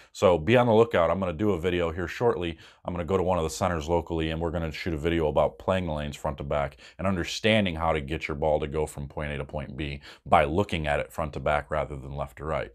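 Low-voiced man coaching bowling to camera. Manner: explains step by step, reassuring, fillers like "uh". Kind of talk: casual instructional talk to camera. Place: small room indoors.

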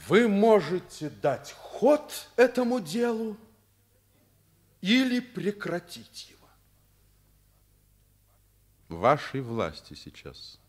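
An actor playing a stern but passionate middle-aged school director, earnest and insistent, in a Soviet radio drama. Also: male voice, high voice, sing-song, slow pace, pauses often, exaggerated voice. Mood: earnest pleading. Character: stern, passionate male school director defending his pupil.

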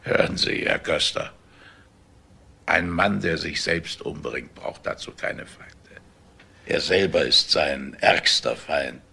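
An actor playing a weary, grieving elderly company owner with a gravelly, husky voice in a crime radio drama. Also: male voice, rumbling low, slow pace, bright, forceful tone, exaggerated voice. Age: older.

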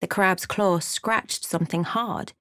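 A woman narrating a fantasy game as a solemn storyteller, in a measured, dramatic manner. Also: on the low side, fairly bright tone, dry recording, clean studio sound.

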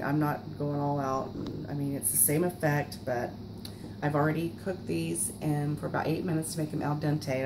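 A woman's voice: low voice, background noise. A woman in her forties hosting a casual home cooking show, chatty and full of fillers, recorded on a camera mic in her kitchen. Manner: chatty, filler-heavy, self-deprecating.

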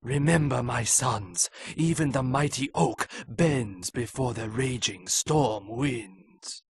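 A man voicing an old, wise male rat ninja master who speaks slowly in solemn proverbs in a video game cutscene. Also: medium pitch, breathy edge, no room sound.

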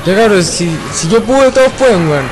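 A man's voice: high-pitched, animated, a little fast, background noise. A young man with a Chilean accent giving casual, slangy gameplay commentary, swearing and bossing his teammates around. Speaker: gaming video host. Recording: game music and sounds behind. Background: loud video game music and effects.

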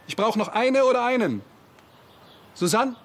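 A man's voice: very high-pitched, expressive, a little slow. An actor playing a cheerful middle-aged male schoolteacher in a TV drama, announcing a contest to a group in a theatrical, instructive way. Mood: cheerfully announcing. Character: cheerful male schoolteacher staging a Roman chariot race. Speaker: teacher leading a school contest. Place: outdoor courtyard. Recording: outdoor courtyard with background noise.

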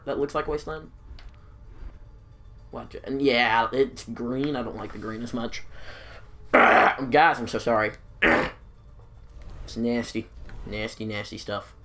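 A man's voice: mid-range voice, pushed and bright. A young man with a stuffed-up, congested voice rambles through casual gameplay commentary. He is self-deprecating and apologetic. Faint music plays underneath. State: stuffed-up nose and throat. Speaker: gaming YouTuber.

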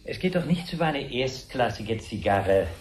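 A man's voice: mid-range voice, sing-song, moderate pace, a little hiss or hum, lightly acted. An actor playing a ceremonious, deferential male butler with formal manners in a 1970s radio play. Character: obsequious, ceremonious male butler serving wealthy guests.

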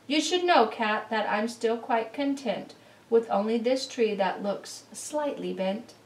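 Woman reading as a kindly old lady, high-voiced, roomy. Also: expressive, unhurried. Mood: content, wistful. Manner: gentle, earnest, rhyming speech. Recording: roomy, distant camera recording.

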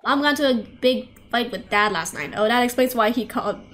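A woman's voice: on the high side, fairly quick, fairly bright tone, a little hiss or hum. A young woman livestreaming, casually reading visual novel dialogue aloud with stumbles, rereads and offhand asides. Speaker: game streamer. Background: game music.

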